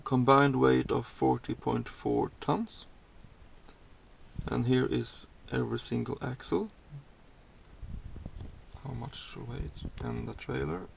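A man in his thirties from Sweden, a truck driver giving a casual tour of his cab, explaining the controls in a halting way with many fillers and restarts. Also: medium pitch, fairly even intonation, gravelly, a little hiss or hum.

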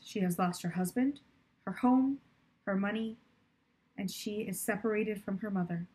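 A woman voicing a caring, steady female family friend in a storybook, gently explaining and consoling. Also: medium pitch, breathy edge, poor audio.

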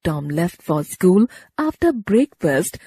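A woman narrating a children's story in a measured, expressive storybook style. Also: lowish voice, husky, no echo.

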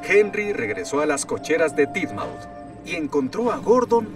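A man's voice: fairly high pitch, expressive, normal speed, distant mic, music in the background. Man narrating a cartoon story.

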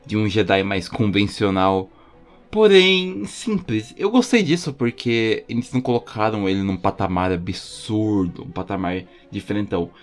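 Man, Brazilian accent, mid-pitched voice, enthusiastic slangy YouTube host, casual voice-over, faint music behind. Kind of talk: casual fan commentary voice-over. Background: music under the voice.

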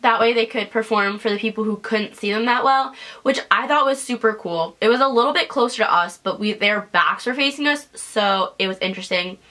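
A young woman vlogging casually from her bedroom, chatty and animated, with a congested, sniffly voice, talking to a camera in front of her. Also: a little high, brisk. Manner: chatty and animated, lots of "like" and "whatever". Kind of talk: casual storytelling vlog. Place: small bedroom, indoors. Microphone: camera in front at desk distance.

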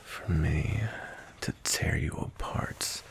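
A man playing a cold, possessive, dominant vampire knight in an intimate ASMR roleplay, with a slow, breathy, menacing murmur. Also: a bass voice, exaggerated voice. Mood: seductive, menacing. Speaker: audio roleplay voice actor.

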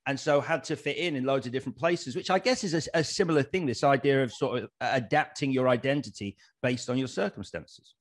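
A man's voice: mid-range voice, a little fast, few pauses. A man in his thirties with an English accent, hosting a casual interview chat into a studio mic. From Leeds, northern England. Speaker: interview host. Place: room with bare brick wall. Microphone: studio mic on boom arm.

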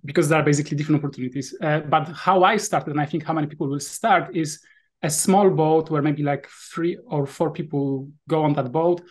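A man's voice: fairly high pitch, fairly even intonation, brisk. A man in his thirties from Poland with a Polish accent, a guest on a video call, explaining things thoughtfully with many fillers and restarts. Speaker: guest, VR sailing app developer. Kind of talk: casual interview answers.

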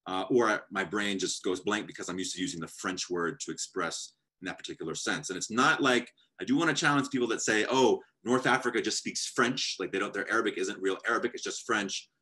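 A man's voice: fairly low pitch, a little fast, hardly any pauses, sharp tone. A man in his thirties talking on a video call panel from a room at home, thoughtful and explaining, sometimes reading from his own draft. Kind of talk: academic panel talk.

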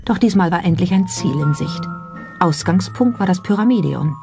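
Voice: medium pitch, expressive, normal speed, vocal fry. Documentary narrator over background music.

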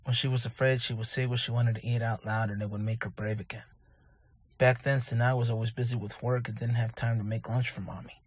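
A man playing a gentle, grieving young father in an anime fan dub, speaking softly and haltingly with remorse and tenderness. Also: medium pitch, level tone, husky, no echo, natural voice. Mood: gentle, reminiscing. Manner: soft, halting, emotional delivery with sighs.

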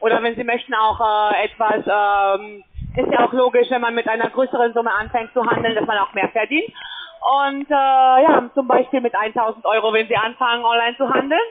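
A man making a phone sales call as a call center agent, speaking in a halting, hesitant way and searching for words. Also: very high voice, fairly even intonation. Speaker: call center caller selling crypto trading. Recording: muffled phone line, busy call-center chatter.

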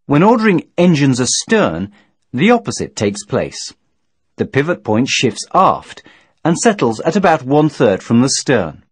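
A man reading scripted instructional narration in a measured, formal, didactic manner. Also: mid-range voice, big pitch swings, breathy edge, no room sound. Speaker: training video narrator.

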